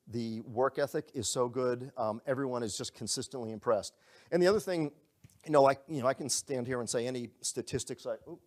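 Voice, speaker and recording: A man giving a live sales presentation to a group in a room, pitching in an upbeat, conversational way. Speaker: company presenter.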